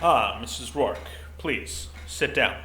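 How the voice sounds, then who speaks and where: A man playing a gruff, middle-aged, desk-bound security commander in an audio drama, blunt and dryly bureaucratic. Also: medium pitch, animated, takes it slowly, sharp tone. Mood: stiffly polite. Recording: roomy echo, faint noise.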